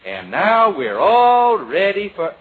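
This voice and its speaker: A man's voice: high voice, wildly swooping pitch, slow, full character voice. A man playing a friendly, earnest bachelor who is fond of babies in an old radio comedy, easygoing and boyishly cheerful. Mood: playful, tender. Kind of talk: 1940s radio comedy acting. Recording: dull, noisy, crackly old radio recording.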